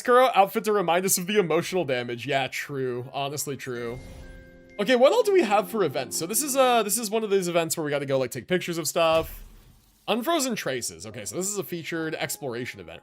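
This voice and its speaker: Male livestream host, animated pitch, casual gamer slang and swearing, faint music underneath. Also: brisk. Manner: laid-back slangy enthusiasm, swears casually. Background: video game music and character voices.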